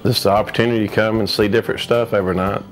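A man's voice: lowish voice, steady tone, very fast. A man in his forties with a Southern US accent, an auctioneer talking in a documentary interview. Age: 40s. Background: guitar music.

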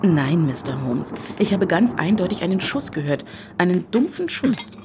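A woman's voice: lowish voice, big pitch swings, moderate pace, noisy background. An actress in a radio play voicing a grieving, dignified lady of the manor who speaks in a composed, guarded, formal way. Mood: firm, formal.

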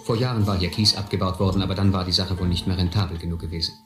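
Low-voiced actor as a reflective male narrator. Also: steady tone, very fast, natural voice. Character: grown man looking back on his boyhood, reflective. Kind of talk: scripted first-person radio drama narration. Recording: roomy sound with music underneath.

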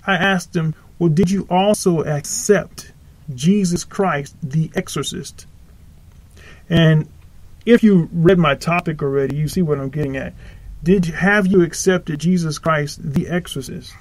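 A man's voice: on the high side, a little mellow, no echo, low-quality recording. A man preaching informally on his own podcast, rambling and correcting himself as he goes. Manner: rambling, self-correcting, earnest. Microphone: home podcast microphone.

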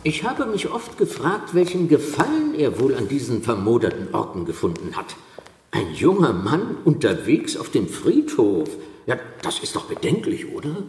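A man's voice: medium pitch, huge pitch swings, normal speed, darkish tone. An actor playing a brusque, agitated male asylum doctor who scoffs and blusters in a German radio play. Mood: impatient. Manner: blustery, hesitant hums and short scornful chuckles. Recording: echoey hall with long reverb.

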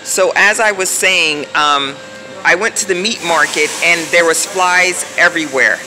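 A woman's voice: on the low side, unhurried. A middle-aged American woman chatting casually and thinking aloud as she records a shopping vlog on a handheld phone. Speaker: vlogger. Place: supermarket aisles with humming coolers. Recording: noisy background hum, handheld phone.

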